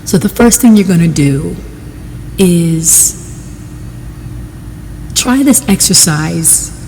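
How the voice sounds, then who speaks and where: Female meditation guide, soft mellow tone, lowish pitch, unhurried short phrases with pauses. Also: animated, in a large hall, a little hiss or hum. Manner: measured, deliberate, pausing between short phrases.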